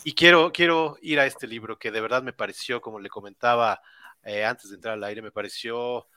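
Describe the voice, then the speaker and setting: A man's voice: medium pitch, brisk, very noisy. A man hosting a live interview, asking questions conversationally and affirming often. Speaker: interviewer host.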